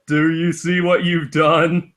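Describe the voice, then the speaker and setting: A man's voice: on the high side, little pitch movement, slow, fairly bright tone. A man chatting casually as a guest co-commentator, reacting with short remarks. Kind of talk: casual chat.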